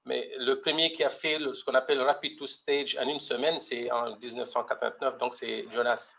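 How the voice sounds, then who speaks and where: A man's voice: mid-range voice, steady tone, brisk, gravelly, bright, forceful tone, slight background noise. A middle-aged man from Mauritania giving a medical webinar lecture, hesitant, with many fillers and restarts. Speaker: pediatric heart surgeon presenting.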